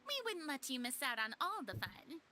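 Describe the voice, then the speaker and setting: An actress playing a poised, playful, gently teasing young woman in a video game. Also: high-pitched, big pitch swings, moderate pace, a little hiss or hum, heavily acted.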